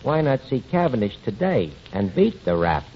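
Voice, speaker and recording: A man's voice: mid-range voice, very animated, normal speed. An actor playing a scheming tavern manager in a 1940s radio comedy, with ungrammatical, wheedling salesman's patter. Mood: grandly pitching. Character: scheming, fast-talking tavern manager, small-time promoter with grand ideas. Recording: muffled, noisy 1940s radio recording.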